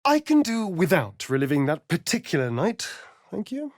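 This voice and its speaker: An actor playing a sardonic, vain, scheming vampire spawn in a video game, with an arch, drawling, theatrical delivery. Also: male voice, high voice, very animated, moderate pace, no echo, clean studio sound. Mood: sarcastic, playful. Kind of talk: video game character voice lines.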